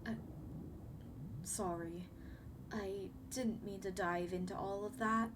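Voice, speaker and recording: A woman voicing a young siren queen, gentle, anxious and apologetic, speaking formally and hesitantly to the listener in an ASMR roleplay. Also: mid-range voice, steady tone, slow, close to an everyday voice. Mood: somber, confiding. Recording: clearly noisy background ambience.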